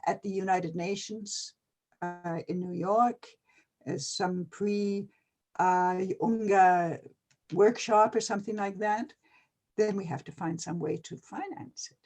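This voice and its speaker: An older person from Canada, talking casually and hesitantly with many pauses and fillers. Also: mid-range voice, steady tone, darkish tone. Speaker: retired NGO advisor. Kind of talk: casual video-call conversation.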